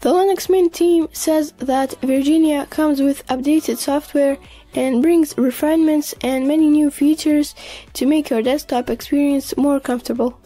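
High-pitched woman narrating tech news. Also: fairly even intonation, normal speed, mellow, soft background music. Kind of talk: scripted narration.

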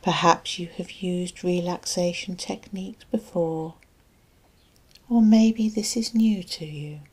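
A woman hypnotherapist narrating a guided relaxation and sleep hypnosis in a soft, breathy, soothing and deliberate voice, leaving long pauses between phrases. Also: fairly low pitch, steady tone.

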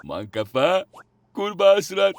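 A man's voice: high-pitched, big pitch swings, normal speed, no echo. An actor playing a gleefully mocking cartoon man who taunts in a drawl. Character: gleefully mocking man flinging silly insults. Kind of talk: Turkish-dubbed children's cartoon acting.

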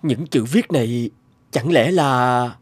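A man's voice: mid-range voice, takes it slowly, dry recording. An actor playing an earnest, hesitant grown man in a cartoon dub. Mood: concerned. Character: earnest, hesitant adult man, former unruly schoolboy. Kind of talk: cartoon dubbing.

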